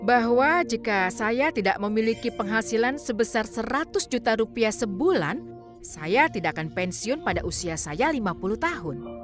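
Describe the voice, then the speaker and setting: Calm woman narrating over music. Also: fairly high pitch. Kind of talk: dubbed reading of a personal testimony. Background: background music.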